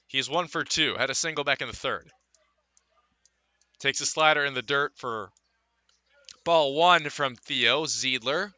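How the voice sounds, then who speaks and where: A man calling live baseball play-by-play for radio from a ballpark, in measured phrases with pauses between plays. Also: medium pitch, sharp tone, no room sound. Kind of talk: live radio sports commentary.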